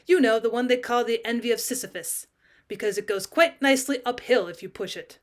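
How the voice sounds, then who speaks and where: A woman's voice: on the high side, brisk, natural voice. A woman voicing a coldly sardonic talking male cat in a storybook reading, haughty, unruffled and cutting. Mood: cool disdain. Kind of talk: audiobook character voice, British classic short story.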